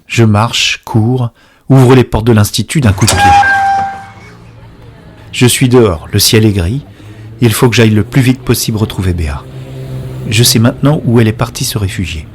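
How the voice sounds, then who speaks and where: A man's voice: on the low side, a little hiss or hum, lightly acted. An actor playing an anxious, impatient man searching for his friend in a French science-fiction audio drama. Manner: alternates urgent dialogue with hushed introspective narration. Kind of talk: French audio drama acting with first-person present-tense narration.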